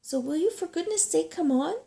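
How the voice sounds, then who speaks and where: A woman's voice: very high-pitched, animated, brisk, gravelly, mellow, pitched above the performer's own voice, heavily acted. A woman voicing a small, bossy, grumbling female brownie with an urgent, scolding manner in a storybook.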